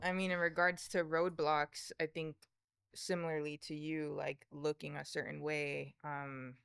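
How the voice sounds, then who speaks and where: A woman's voice: low voice, flat delivery, measured pace, vocal fry, a bit bright, clean tone, no room sound, clean studio sound. A woman talking casually about herself as a guest on a podcast. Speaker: podcast guest, tour manager and producer. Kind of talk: casual conversational interview.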